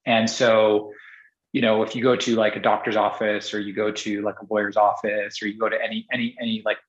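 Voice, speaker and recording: A man's voice: fairly low pitch, level tone, brisk, reverberant, lo-fi sound. A man who grew up in Singapore, speaking as a guest on a podcast interview, rambling with many restarts and fillers. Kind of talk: casual interview conversation.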